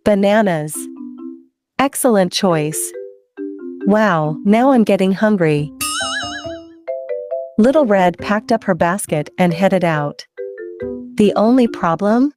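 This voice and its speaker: A woman's voice: mid-range voice, expressive, slow, pauses often, a little mellow, faint music behind. A woman hosting story time for children, playful and chatty, putting questions to her young listeners.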